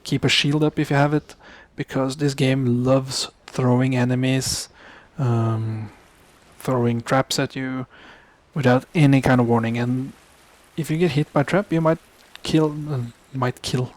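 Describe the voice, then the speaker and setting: A man's voice: mid-range voice, steady tone, no echo. A young man from Norway, speaking English as a second language, giving casual gameplay commentary and often stopping to correct himself. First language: Norwegian. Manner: halting, self-correcting, mutters asides under his breath. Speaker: gaming commentator.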